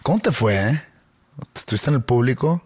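A man with a Monterrey accent hosting a podcast, teasing his co-host in a dry, deadpan way. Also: mid-range voice, moderate pace, slightly rough, no room sound. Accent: northern Mexican, Monterrey. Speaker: podcast host and touring comedian. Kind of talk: casual podcast banter.

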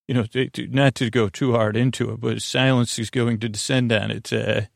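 A man hosting a bedtime podcast, talking in a meandering, halting way with stammers and tangents, meant to soothe. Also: medium pitch, level tone, vocal fry, no room sound, studio quality. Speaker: podcast host.

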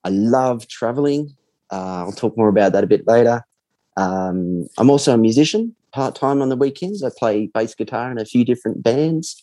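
A man in his forties, a podcast guest talking about himself in a relaxed, reflective way with frequent pauses. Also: mid-range voice, dry recording. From Australia. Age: 40s. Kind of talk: casual interview chat.

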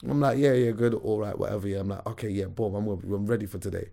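A young man, hoarse from a recent illness, telling a story in animated, slangy casual chat as a podcast host. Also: lowish voice, very fast. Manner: animated storyteller, slangy, calls everyone bro.

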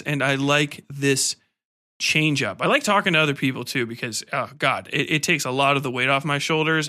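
A man's voice: fairly high pitch, sharp tone, smooth. A man hosting a podcast in a casual, rambling, off-the-cuff and sweary manner. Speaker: podcast host.

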